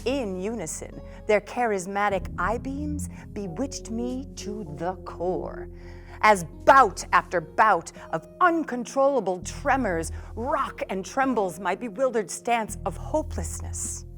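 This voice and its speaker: Slow, expressive woman reciting over music. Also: mid-range voice. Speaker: performer reading writing aloud. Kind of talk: dramatic spoken-word recitation over music. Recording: music behind the voice.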